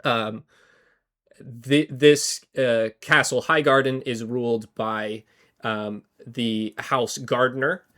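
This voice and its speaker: A man's voice: medium pitch, steady tone, slow, bright, forceful tone. Man with a cold, rambling podcast chat. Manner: rambling, many fillers and restarts. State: slightly hoarse from a cold. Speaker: podcast co-host. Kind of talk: casual history chat.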